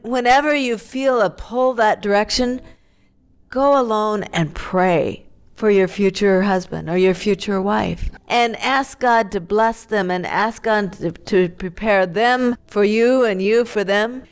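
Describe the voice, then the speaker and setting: A woman's voice: medium pitch, takes it slowly, clear, resonant tone. A woman who grew up in Tanzania, Ethiopia and Pakistan, telling her personal story earnestly and reflectively as a missionary guest in an interview.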